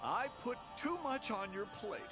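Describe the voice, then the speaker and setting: A man's voice: sits high, big pitch swings, normal speed. An actor playing a pushy, dismissive male boss who talks in a managerial way. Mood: placating. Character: pushy adult male boss, smooth-talking. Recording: game music behind.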